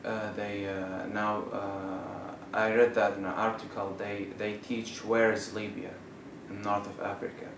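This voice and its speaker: A young man from Saudi Arabia, a student, answering interview questions in a halting way with many fillers and restarts. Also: lowish voice, flat delivery, creaky, fairly bright tone. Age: young adult. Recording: roomy, distant mic with faint noise.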